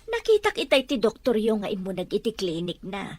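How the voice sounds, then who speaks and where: An actress playing an inquisitive, fussing auntie neighbour in a radio drama. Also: female voice, mid-range voice, sing-song, a little fast, darkish tone, dry recording. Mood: probing, concerned.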